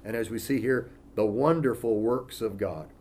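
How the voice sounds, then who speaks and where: A man teaching as a pastor in a relaxed, conversational way, reading scripture aloud between informal asides and frequent "you know" fillers. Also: on the low side, moderate pace.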